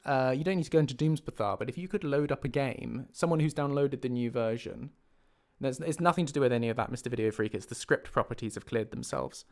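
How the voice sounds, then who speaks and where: Creaky-voiced man thinking aloud on a livestream. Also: mid-range voice. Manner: thinking aloud, muttering to himself. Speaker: game mod developer and livestream host. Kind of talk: casual livestream talk while troubleshooting.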